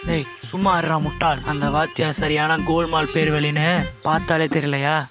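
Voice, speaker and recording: A man's voice: fairly high pitch, flat delivery, a little fast, natural voice. A man voicing a bossy, suspicious cartoon rooster who commands and scolds his flock. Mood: scolding. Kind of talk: children's cartoon voice acting. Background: loud music.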